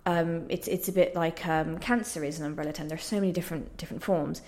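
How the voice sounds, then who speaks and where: A woman with a slightly low voice talks as a playwright in a radio interview. She is earnest and reflective, explaining things in a conversational way. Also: steady tone, fast talker, darkish tone.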